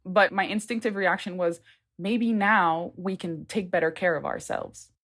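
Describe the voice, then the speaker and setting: A woman from Haiti with an American accent, speaking as an anthropologist in a candid, animated interview full of "like". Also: fairly low pitch, measured pace. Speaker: anthropologist and podcast guest. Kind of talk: conversational interview.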